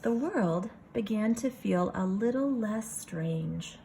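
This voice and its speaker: A woman reading a picture book aloud like a kindergarten teacher, expressive, pausing for casual asides to the children. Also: mid-range voice, gravelly, slight background noise.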